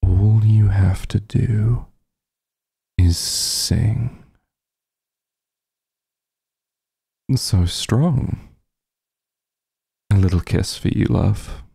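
A man playing a teasing, protective vampire mafia heir in an intimate ASMR roleplay, with a husky, gravelly, breathy voice and a sly murmur. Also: lowish voice, no echo, studio quality, full character voice. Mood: seductive, coaxing. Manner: slow, smooth-tempered, teasing drawl with soft murmurs.

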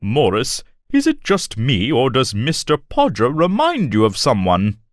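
Lively, easygoing traveler. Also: male voice, medium pitch, unhurried, dry recording, clean studio sound. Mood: friendly, sly. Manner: amiable, courteous. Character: friendly, easygoing male traveler, sly storytelling companion. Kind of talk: animated children's story acting.

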